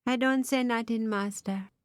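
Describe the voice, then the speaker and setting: A woman's voice: a little high, fairly even intonation, normal speed, no echo, studio quality, pitched above the performer's own voice, lightly acted. A woman voicing a sly, gossiping servant woman, talking in a knowing way.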